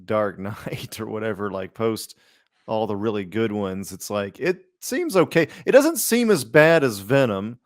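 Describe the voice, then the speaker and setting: A man's voice: lowish voice, big pitch swings, measured pace, dry recording, studio quality. A man co-hosting a podcast, chatting casually about superhero films in a rambling, informal, slangy way. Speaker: podcast co-host.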